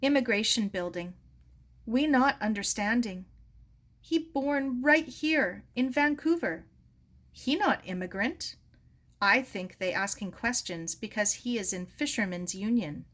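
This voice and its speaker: Woman voicing a humble immigrant man, halting. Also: on the high side, lively intonation, fairly bright tone. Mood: sorrowful, subdued. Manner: broken English, halting and polite. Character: gentle, humble older Japanese immigrant man, sorrowful.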